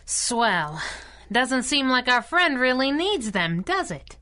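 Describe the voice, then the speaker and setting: A woman's voice: high voice, big pitch swings, takes it slowly, sharp tone, full character voice. A woman playing a plucky, slangy young costumed sidekick in a 1930s-style radio adventure, tossing out sassy wisecracks. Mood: wry, curious.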